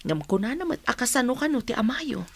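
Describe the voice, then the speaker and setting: A woman's voice: fairly high pitch, big pitch swings, a little fast, vocal fry, fairly bright tone, breathy edge, full character voice. A woman playing a caring, troubled working mother in radio drama dialogue.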